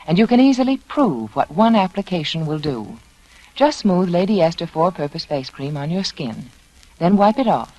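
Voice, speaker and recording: A woman's voice: fairly low pitch, a little mellow, rough recording. Lilting woman reading vintage radio ad. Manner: measured, persuasive. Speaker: commercial spokeswoman. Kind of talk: scripted 1940s radio advertisement. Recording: vintage 1940s radio broadcast.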